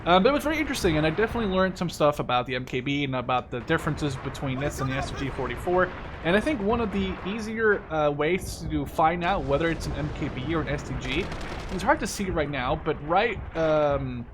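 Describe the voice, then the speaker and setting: A man's voice: a little high, expressive, a little fast, noisy background. A man in his twenties from the Netherlands giving casual, rambling commentary while he plays a shooter game, often correcting himself as he concentrates. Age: 20s. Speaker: gameplay video creator. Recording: loud game artillery and gunfire behind voice. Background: artillery fire and gunshots from the game.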